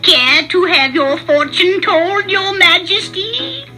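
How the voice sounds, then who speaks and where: A wheedling lady fortune teller from a cartoon storybook, put on by a man. The voice is extremely high and slow, drawing out each coaxing word over music. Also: pushed and bright, full character voice.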